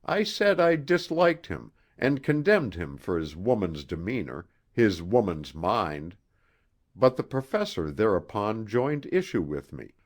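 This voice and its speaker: A man with a very low voice narrates a gothic horror audiobook. His delivery is theatrical and very slow, with deliberate pauses. The pitch moves widely as he shapes each phrase. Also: sing-song. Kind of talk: dramatic first-person horror story narration.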